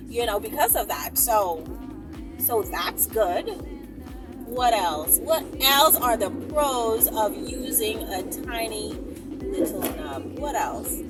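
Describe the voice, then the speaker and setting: A woman with a high, very animated voice chats to her viewers like a vlogger. Her delivery is emphatic and teasing, with mock sympathy. She sounds some way from the microphone, with loud music playing behind her. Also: recorded from a distance. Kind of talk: casual direct-to-viewer chat.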